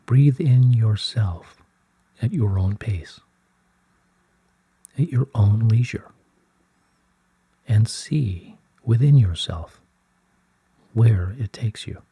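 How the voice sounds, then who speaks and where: A man reads spiritual guidance in a low, very mellow voice with a soft, breathy grain. He takes his time, speaking very slowly and solemnly in measured, soothing phrases. The sound is dry and close. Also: dry recording. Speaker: reader of channelled spiritual messages. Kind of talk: slow scripted reading of spiritual guidance.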